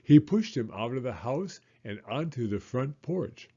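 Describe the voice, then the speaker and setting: A man in his fifties, an elementary school teacher, reading a children's story aloud in a gentle, lively way. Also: mid-range voice, measured pace, husky, muffled recording. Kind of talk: children's read-aloud narration.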